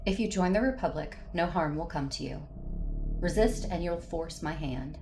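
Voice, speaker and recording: A cold, menacing woman captor with a low voice. She gives measured, commanding threats against clearly noisy ambience in an audio drama. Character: cold, mind-controlled aunt turned menacing captor. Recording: clear background noise and ambience.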